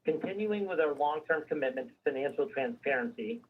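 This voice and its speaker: A man's voice: a little high, lively intonation, brisk, breathy edge, distant mic, phone-quality sound. A man formally reading a prepared financial results presentation on a conference call, as a company executive. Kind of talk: scripted earnings call presentation.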